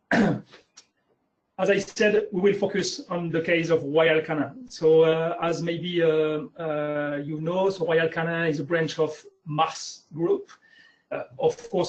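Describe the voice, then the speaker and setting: A middle-aged man speaks English with a French accent, stopping often on drawn-out fillers as he walks through his slides. His voice sits slightly high for a man. The webinar audio is muffled and lo-fi. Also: steady tone, slight background noise. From France. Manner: hesitant, many uh fillers.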